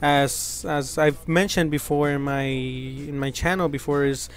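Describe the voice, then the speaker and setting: A man's voice: a little high, steady tone, measured pace. A young man from Mexico, hosting his gaming channel, talking casually and earnestly over gameplay, rambling with many fillers and restarts. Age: young adult.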